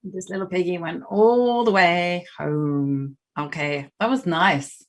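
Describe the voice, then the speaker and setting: A woman's voice: on the low side, takes it slowly. A British woman in her thirties chatting casually while playing chess on a livestream, rambling with lots of fillers and restarts. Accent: British English. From Britain. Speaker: chess streamer.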